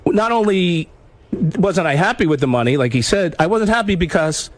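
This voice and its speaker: A man in a heated studio discussion, complaining and defending himself with halting repetitions. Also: sits high, expressive, no room sound. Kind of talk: argumentative casual studio talk.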